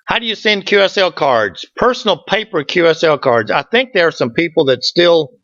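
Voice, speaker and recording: A man's voice: fairly high pitch, animated, moderate pace, a bit bright, no echo. A man from Georgia with a Southern US accent, presenting an informal club class in a folksy, joking way. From Georgia, southern United States. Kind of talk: informal slide lecture with group chat. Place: indoor meeting room, at a lectern. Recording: dull, muffled room recording.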